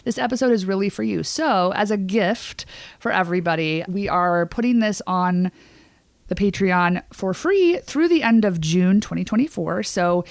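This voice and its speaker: A woman's voice: mid-range voice, lively intonation, normal speed, creaky, no room sound. A woman co-hosting a podcast in casual chat, talking in a gushing, emphatic, slangy way. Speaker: podcast co-host.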